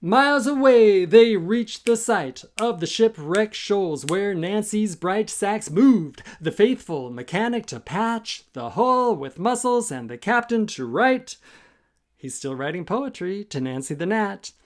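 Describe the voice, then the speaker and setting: A man who is a children's author reading a rhyming story aloud with an expressive, chanting, sing-song delivery. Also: sits high, unhurried. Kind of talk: expressive musical storytime narration in rhyme.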